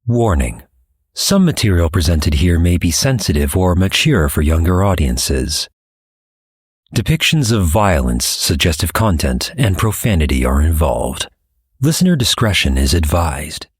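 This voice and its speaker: A man's voice: deep voice, sing-song, unhurried, slightly rough, dry recording, studio quality. A man reading a scripted announcement as the announcer of an audio show.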